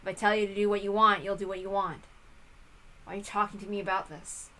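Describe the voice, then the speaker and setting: A woman's voice: mid-range voice, level tone, quick pace, pushed and bright, close to an everyday voice. A woman voicing a sullen, guarded teenage servant boy who is blunt and dismissive, in a visual novel reading. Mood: resigned, irritated. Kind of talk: visual novel read-aloud character voice.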